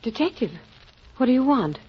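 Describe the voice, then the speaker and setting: An actress playing a curt, indignant bride-to-be, cold and clipped, in 1940s radio style. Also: female voice, high-pitched, big pitch swings, moderate pace, breathy edge, heavily acted. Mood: wary. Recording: dull, faintly noisy old radio recording.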